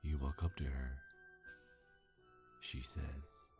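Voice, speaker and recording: An ASMR storyteller whispers in an extremely low register. The delivery is very slow and deliberate, with long pauses. Faint music runs behind the hushed narration. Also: steady tone.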